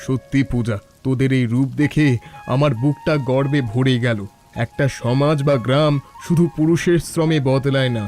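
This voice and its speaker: A man voicing a kindly, encouraging village schoolteacher in a cartoon, talking with fatherly warmth. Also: mid-range voice, fairly even intonation, normal speed, faint music behind, lightly acted.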